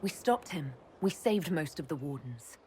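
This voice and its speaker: An actress playing a young, devoted female elf warrior in a video game, sincere and soft-spoken. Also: sits low, big pitch swings, measured pace, slight background noise. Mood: reassuring.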